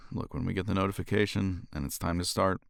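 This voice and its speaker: A man's voice: sits low, level tone, normal speed, creaky, no room sound, studio quality. A man playing a cocky, weary champion competitor in an intimate romance ASMR roleplay, flirtatious and teasing, with languid sighs and murmured asides. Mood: relaxed, weary.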